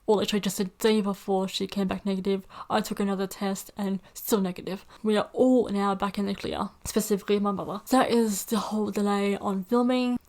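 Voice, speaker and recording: A woman's voice: medium pitch, darkish tone. A woman who is a native English speaker, chatting casually as a reaction video host in a rambling, hesitant way, often repeating herself, into a USB desktop microphone. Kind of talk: casual chat to camera.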